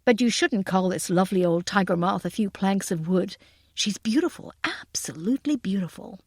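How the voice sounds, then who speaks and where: A woman voicing a polite, relaxed American countryman with gentle amusement, in a narrated story. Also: mid-range voice, normal speed, breathy edge, no room sound, studio quality. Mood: gentle, admiring.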